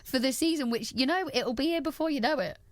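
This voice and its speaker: A woman's voice: sits high, quick pace, dry recording. Woman radio presenter, close dry sound. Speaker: radio presenter interviewing guests. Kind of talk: local radio sports interview.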